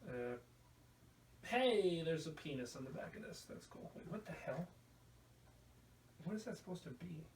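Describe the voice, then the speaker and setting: Higher-voiced man rambling in a roomy space. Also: animated, normal speed, long pauses, darkish tone. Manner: irreverent, crude joking. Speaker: video podcast host. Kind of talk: casual rambling show-and-tell to camera. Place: indoor room at home. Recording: roomy, distant mic, faint background noise.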